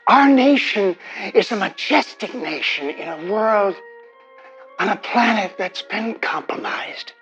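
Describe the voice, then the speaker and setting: A menacing politician in a 1950s-set audio drama, orating with grandiose deliberation. His highish voice swings dramatically in pitch, heard through a muffled telephone filter. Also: very animated, a bit bright, soft background music, heavily acted. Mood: grandiose, ominous. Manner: oratorical, emphatic, deliberate. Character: menacing, grandiose male politician scheming for power.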